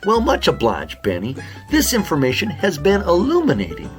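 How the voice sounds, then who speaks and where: A man voicing an earnest, excitable raccoon detective running a case in a children's audio drama. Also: on the high side, moderate pace, music in the background. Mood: businesslike. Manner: polite, businesslike, flustered when surprised.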